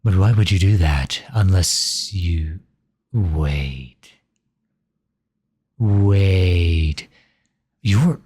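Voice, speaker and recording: Guy acting as a grumpy flustered asmr dude, deep mellow voice, sarcastic and grudging. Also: breathy edge, exaggerated voice. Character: grumpy, exasperated male ASMR creator, tsundere.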